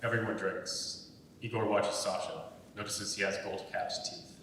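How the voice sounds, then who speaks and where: A man's voice: level tone, unhurried, fairly bright tone. A man reading screenplay stage directions aloud as scripted narration, recorded from far off in an echoing hall. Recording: echoey hall, far from mic, rumbly noise.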